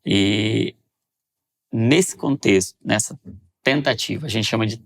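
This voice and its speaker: A man's voice: on the low side, creaky. A man hosting a faith podcast interview, speaking reflectively with many restarts. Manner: reflective, speeds up and slows down, frequent restarts. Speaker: podcast host.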